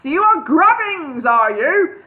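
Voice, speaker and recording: A woman voicing a booming, eccentric old male wizard who shouts, heard over a tinny phone-like line. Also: very animated, unhurried.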